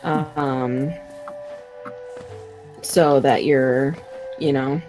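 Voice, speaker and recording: A woman streamer chatting casually with co-streamers, quick and animated, with lots of fillers. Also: extremely low pitch, over music, rough recording.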